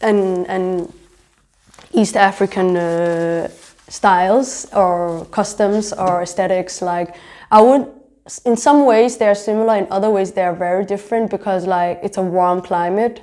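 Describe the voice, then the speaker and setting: A woman's voice: medium pitch, steady tone, a little slow, mellow. A young woman in her twenties, born in Somalia and raised in Denmark, answering interview questions about her design work and studies in a chatty way, with many fillers. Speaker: fashion designer and pharmacy student.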